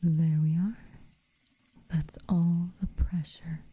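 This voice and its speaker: A woman playing an aloof, teasing but secretly caring witch in a soft, breathy, intimate ASMR roleplay. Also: on the low side, flat delivery, no room sound, full character voice. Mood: gently instructing. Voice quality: soft, breathy, often near a whisper. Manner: unhurried, hushed, dryly teasing.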